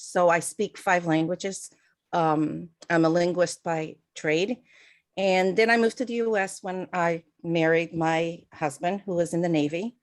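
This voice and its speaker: A woman, giving a chatty online talk over a video call, with lots of fillers and self-deprecating asides. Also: fairly low pitch. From Portugal. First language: Portuguese. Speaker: guest speaker. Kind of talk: online presentation.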